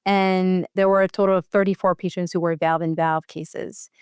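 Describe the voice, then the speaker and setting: A woman's voice: on the low side, flat delivery, moderate pace, darkish tone, smooth, no room sound. A woman speaking as a physician researcher and interview guest, explaining clinical details in a matter-of-fact, conversational way.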